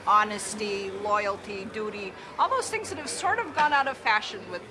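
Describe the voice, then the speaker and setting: A woman giving an earnest, deliberate interview answer as a fan. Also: mid-range voice, animated. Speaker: convention fan. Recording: noisy convention hall.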